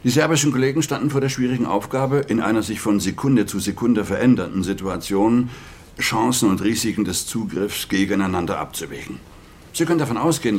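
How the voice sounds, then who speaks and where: An actor playing a government spokesman at a press conference who answers reporters formally and with sarcasm. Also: low voice. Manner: formal, curt, sardonic with reporters. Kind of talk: radio drama acting.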